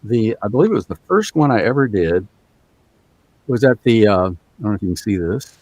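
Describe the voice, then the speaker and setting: Male voice actor as podcast guest, low-pitched, rambling anecdotes, lots of ums. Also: expressive, a little fast, a little mellow, no echo, highs cut off. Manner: rambling, anecdotal, many fillers. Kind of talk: casual podcast storytelling.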